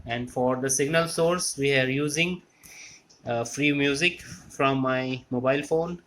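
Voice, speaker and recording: A man giving an unscripted technical walkthrough as a hobbyist, explaining step by step in a halting way and repeating phrases. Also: mid-range voice, fairly bright tone. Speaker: electronics hobbyist demonstrating a restored amplifier. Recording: clear background noise with clicks.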